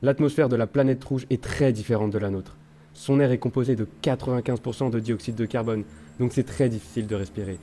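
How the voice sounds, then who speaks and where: A young man playing a steady spaceship engineer in a sci-fi audio drama, instructive and reassuring. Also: medium pitch, fairly quick, a little hiss or hum, lightly acted. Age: young adult. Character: male ship's engineer, steady, reassuring briefer.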